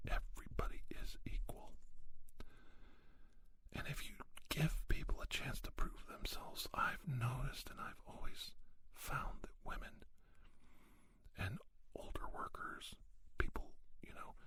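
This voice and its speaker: A middle-aged man hosting an ASMR podcast, giving a reflective monologue slowly and deliberately, repeating phrases for emphasis. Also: mid-range voice, huge pitch swings, sharp tone, husky. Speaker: podcast host.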